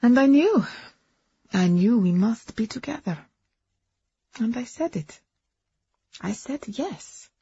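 A woman voicing a passionate immigrant wife, dramatic and breathy, in an audiobook story. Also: fairly high pitch, lively intonation, slow pace, pauses often, mellow, no echo, highs cut off, voice pushed up, exaggerated voice. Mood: rapturous. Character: passionate Eastern European immigrant wife confessing her love affair.

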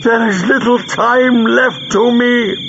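A man voicing a deformed, dying villain in an audiobook, snarling and screaming vengeful threats in a strained, croaking voice. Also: extremely high pitch, steady tone, slow pace, a bit bright, long reverb tail, pitched above the performer's own voice, heavily acted. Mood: desperate urgency. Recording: dull lo-fi audio with noise.

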